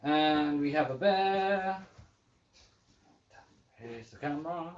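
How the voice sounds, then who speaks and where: A woman hosting a children's story time, chatting casually and reading aloud in a playful, expressive way. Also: low voice, slow. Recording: roomy, slightly dull home recording.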